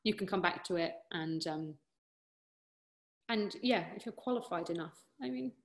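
British woman, 20s, warm casual Q&A chat, webcam sound from a living room. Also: lowish voice, animated, normal speed. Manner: chatty, encouraging, many fillers and restarts. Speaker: opera singer answering questions. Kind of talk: casual online Q&A. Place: living room at home, indoors. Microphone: laptop webcam on a video call.

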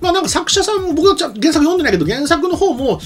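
Very fast-talking, animated middle-aged man. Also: very high voice, faint music behind. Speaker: talk show co-host, anime writer. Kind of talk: casual chat commentary on anime. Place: small indoor streaming studio. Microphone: microphone on desk stand.

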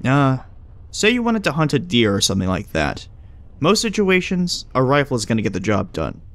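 A man's voice: mid-range voice, wildly swooping pitch, moderate pace, fairly bright tone, no room sound. A man playing a smug, casual agent who explains things glibly in audio drama dialogue. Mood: measured, probing. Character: breezy, smug male agent who knows hidden things.

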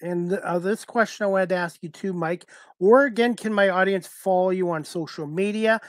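A middle-aged man with a Canadian accent, hosting a casual interview in a halting way over a headset. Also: high-pitched, dry recording, clean studio sound. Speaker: talk show host, interviewer. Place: indoors, on a video call.

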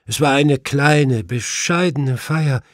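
A man's voice: medium pitch, takes it slowly, no echo, studio quality, pitched above the performer's own voice, natural voice. A man voicing a distraught, weeping widow in an audiobook, shaken and tearful.

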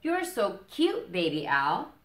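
A woman's voice: on the low side, huge pitch swings, slow, roomy sound, exaggerated voice. A woman voicing an affectionate, gushing woodland animal in a storybook with sugary cooing.

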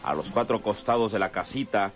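A man's voice: fairly low pitch, fairly quick, faint music behind. A man giving dramatic scripted narration for a radio serial.

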